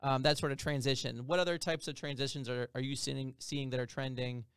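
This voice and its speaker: Chatty male podcast host, clear voice. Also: mid-range voice, steady tone, brisk, no echo. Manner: storytelling with quoted asides and fillers. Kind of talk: casual podcast chat. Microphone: studio mic on boom arm, headphones.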